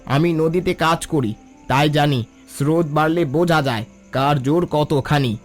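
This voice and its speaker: Man as humble cartoon fisherman, high male voice, earnest, dignified, long-suffering, light background music. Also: a little slow, lightly acted. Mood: weary resolve. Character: poor, proud, long-suffering fisherman, a father. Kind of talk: scripted cartoon dialogue.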